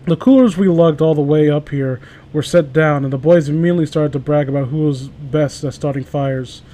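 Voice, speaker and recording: Guy w a kinda high voice, reading stories to friends, fumbles words, chatty. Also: brisk, warm tone, rough recording. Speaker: host reading stories aloud. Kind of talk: casual group banter and amateur story reading.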